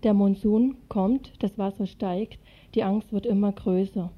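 A woman's voice: medium pitch, steady tone, measured pace. A woman narrating a scripted radio report as a contributor to a news feature. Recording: dull, band-limited radio recording.

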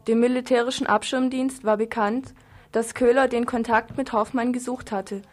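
A woman acts as the quotation reader in a scripted radio programme. Her voice is a little higher than average for a woman. She reads prepared texts aloud. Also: fairly even intonation, normal speed. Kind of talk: scripted reading of quoted texts.

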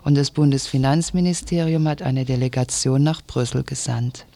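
A woman's voice: a bass voice, normal speed, warm tone, slight background noise. A woman who is a novice newsreader on the radio, recorded on a studio microphone. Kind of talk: scripted news reading. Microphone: studio microphone in a speaker's booth.

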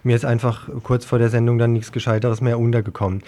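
Guy doing community radio news, soft mellow voice, pretty flat intonation. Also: mid-range voice, quick pace.

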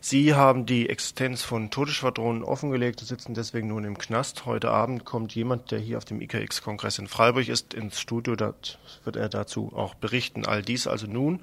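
An earnest man reads political news on the radio at a middle pitch. His delivery is pointed and opinionated, with the odd stumble and restart. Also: little pitch movement, a little fast, creaky, few pauses, a bit bright.

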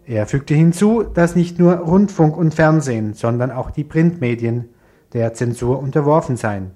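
A man's voice: medium pitch, mellow. A man presenting radio news from a studio, reading scripted short reports.

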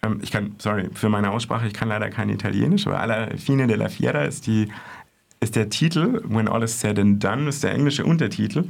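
Low-voiced hesitant male radio correspondent. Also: fairly quick, gravelly. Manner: hesitant, many fillers and restarts. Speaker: art correspondent. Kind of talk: casual radio chat, reporting on art fairs.

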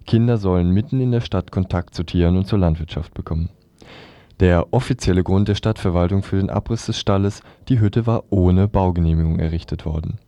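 Very dark-voiced man reading news. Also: low-pitched, brisk, no room sound. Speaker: radio news reader.

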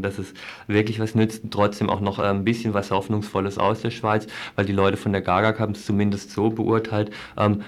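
Low-voiced man hosting radio, fairly monotone. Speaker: radio show host. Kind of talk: live radio studio interview.

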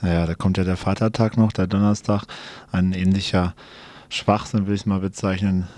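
A man's voice: low-pitched, little pitch movement, moderate pace, dry recording. A man moderating a morning radio show. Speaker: radio presenter. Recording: radio voice over loud music. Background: loud guitar music.

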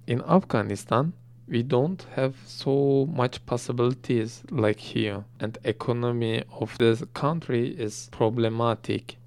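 Man, interpreter, measured delivery, very slow, gravelly fry, smooth resonant tone. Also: medium pitch, darkish tone. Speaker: interpreter giving English summary. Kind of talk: translated summary.

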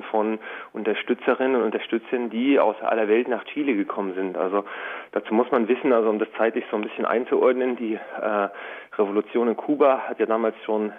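Over a narrow, phone-like connection, a man with a fairly low voice answers as a radio interview guest. He explains in a thoughtful way, halting now and then mid-phrase to find his wording. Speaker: interview guest from a Latin America news agency project. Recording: narrow, tinny phone-like line.

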